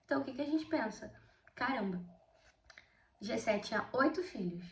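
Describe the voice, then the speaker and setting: A sixteen-year-old girl with a Brazilian accent, slightly hoarse from a cold, chatting through a casual Bible study vlog and often addressing her viewers. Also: fairly high pitch, lively intonation, moderate pace, roomy sound, slight background noise. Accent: Brazilian Portuguese. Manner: chatty, keeps addressing viewers, emphatic storytelling. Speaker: video host.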